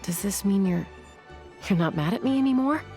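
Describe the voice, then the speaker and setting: A woman's voice: medium pitch, expressive, normal speed, loud music behind the voice, lightly acted. An actress playing a sensitive young woman, a hesitant and earnest peacemaker in a video game. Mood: hesitant, sorry.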